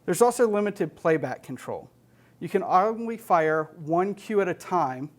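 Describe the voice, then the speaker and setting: An American man in his thirties presenting as an audio technician, explaining gear and software in a plain-spoken, matter-of-fact way. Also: sits high, lively intonation, unhurried. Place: indoor studio stage with LED wall.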